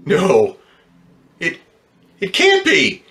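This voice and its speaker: A man's voice: high voice, wildly swooping pitch, drawn-out words, sharp tone, faint music behind, full character voice. A man acting in a radio drama as a troubled, weary, plain-spoken ambulance driver, stammering and repeating his words. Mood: amazed, overjoyed.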